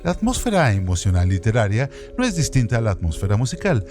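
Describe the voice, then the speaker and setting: A man with a fairly low voice narrates a scripted radio piece. His delivery is formal and measured, yet the intonation moves up and down a lot. Faint music plays behind him. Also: wildly swooping pitch.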